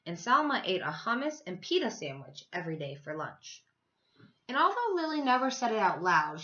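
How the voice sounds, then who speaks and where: A woman teacher reading a children's story aloud, with expressive, emphatic delivery and drawn-out words. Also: medium pitch, lo-fi sound.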